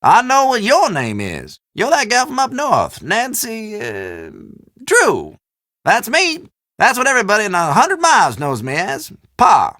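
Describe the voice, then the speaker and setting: An actor playing a folksy old storekeeper in a video game, chatty and teasing, with a drawl. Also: male voice, high voice, huge pitch swings, a little slow, pushed and bright, no room sound, clean studio sound, full character voice. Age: older. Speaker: small-town general store keeper. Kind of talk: video game character dialogue.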